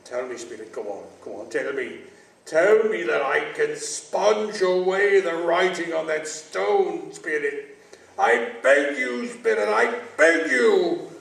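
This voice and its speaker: A man playing a reformed old miser in a theatrical radio play, excitable and exclamatory, repeating his words haltingly. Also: fairly high pitch, gravelly, full character voice. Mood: desperate pleading. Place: stage of a showcase theater. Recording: echoey theater, distant mic, faint noise.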